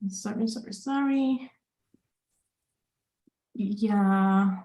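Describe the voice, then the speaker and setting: A woman's voice: medium pitch, extremely slow, warm tone. A woman hosting a live online career chat over a video call, upbeat and enthusiastic, with frequent fillers. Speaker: webinar host.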